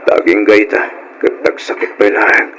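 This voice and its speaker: A man's voice: normal speed, fairly bright tone, a little hiss or hum, lightly acted. A Filipino man playing a troubled, hurt, anxious husband in an old radio drama. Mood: heavy-hearted, hurt.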